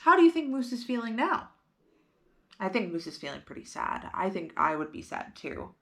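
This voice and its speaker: A woman's voice: medium pitch, sing-song, normal speed, gravelly, pushed and bright. A woman hosting storytime, reading a picture book aloud expressively and putting simple questions to young listeners.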